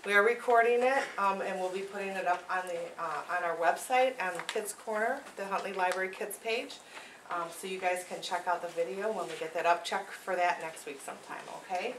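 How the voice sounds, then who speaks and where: A woman's voice: on the low side, a little fast. A woman hosting a library program, introducing it to an audience in an informal, encouraging way. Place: room at a public library. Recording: echoey room with clear background noise.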